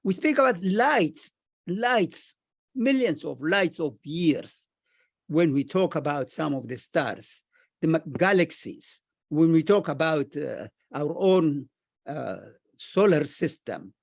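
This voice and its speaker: A man speaking earnestly and deliberately as a panelist in a reflective discussion, repeating phrases for emphasis. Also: on the high side, lively intonation, vocal fry, no echo, studio quality. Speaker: panelist, scholar.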